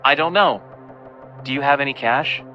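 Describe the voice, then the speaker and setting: A man's voice: medium pitch, moderate pace, highs cut off, soft background music, lightly acted. A man playing an anxious, pleading young boyfriend in an audio play, speaking nervously.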